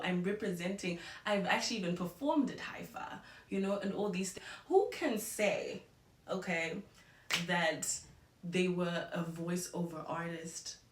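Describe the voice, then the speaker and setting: A chatty, expressive young woman from Zimbabwe with a fairly low voice talks through a get-to-know-me vlog. She sits a little back from the mic, and the room echoes around her. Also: far from the mic. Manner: chatty and expressive, switching into Shona and Ndebele phrases. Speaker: vlogger.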